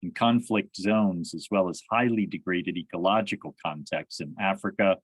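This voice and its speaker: A man hosts a conversation in a lowish voice with a close, dry sound. He is halting and often starts his phrases over. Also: dry recording. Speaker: podcast host. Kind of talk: conversational interview.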